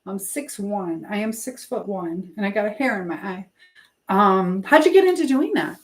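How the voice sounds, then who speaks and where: A woman's voice: mid-range voice, expressive, moderate pace, low-quality recording. A middle-aged American woman hosting a casual live stream, chatting in a rambling way as she answers viewers and drifts between asides.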